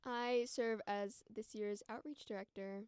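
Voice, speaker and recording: Podcast guest, female, about 20, American. Crisp, dry close sound, chatty and informal delivery. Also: medium pitch, fairly even intonation, a little slow, a little mellow, no room sound. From United States. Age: around 20. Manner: informal, frequent "like" and "you know". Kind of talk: casual conversational chat and storytelling.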